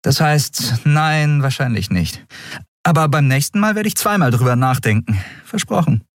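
A man voicing an impulsive, stubborn young woman who captains an airship, earnest and quick to argue. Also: a little high, animated, no echo, studio quality, pitched above the performer's own voice. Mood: teasing.

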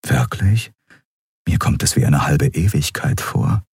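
A man voicing an impulsive, stubborn young woman who captains an airship, earnest and quick to argue. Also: rumbling low, expressive, darkish tone, slightly rough, no room sound, clean studio sound, heavily acted. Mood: teasing.

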